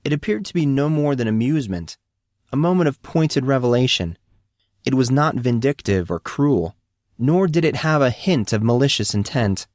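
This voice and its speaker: A man's voice: mid-range voice, lively intonation, normal speed, darkish tone, no room sound, studio quality. A man reading scripted story narration as an audiobook narrator.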